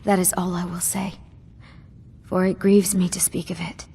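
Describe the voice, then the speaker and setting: A woman's voice: fairly low pitch, level tone, moderate pace, creaky, dark tone, slightly rough, full character voice. A woman voicing a young widowed ruler in a fantasy video game, regal and solemn, with grief and bitterness under her formal speech. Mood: bitter, grieving. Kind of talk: scripted game dialogue. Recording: long hall reverb.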